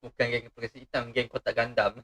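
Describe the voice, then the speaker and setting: A man's voice: mid-range voice, level tone, rapid-fire, fairly bright tone, breathy edge. A man with a Malaysian accent chatting casually as he livestreams a game. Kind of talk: casual gaming stream chat in Malay. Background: game music.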